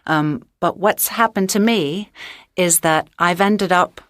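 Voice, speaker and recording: A woman from the United States with a Mid-Atlantic accent, reflecting calmly on her own story with small hesitations. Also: fairly low pitch, slow pace, no echo, clean studio sound. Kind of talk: unscripted personal account.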